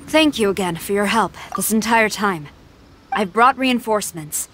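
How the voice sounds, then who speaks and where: An actress playing a formal, dutiful female samurai general in a video game, measured and authoritative. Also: mid-range voice, background noise, natural voice. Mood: firm.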